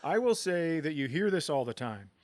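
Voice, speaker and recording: A man hosting a podcast with animated, casual comedic chat and occasional swearing. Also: a little high, moderate pace, no echo. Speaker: podcast host.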